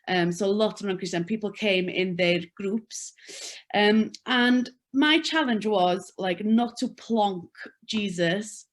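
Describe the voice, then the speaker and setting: A woman's voice: medium pitch, slow. A woman from North Wales with a Welsh accent, Welsh her first language, talking about her church work on a video call with many hesitations. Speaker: church worker, webinar guest.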